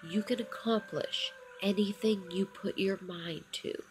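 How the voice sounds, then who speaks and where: A woman narrating a scripted guided meditation in a soothing, encouraging manner, with short phrases and long pauses. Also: mid-range voice, steady tone, a little mellow. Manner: encouraging affirmations. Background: background music.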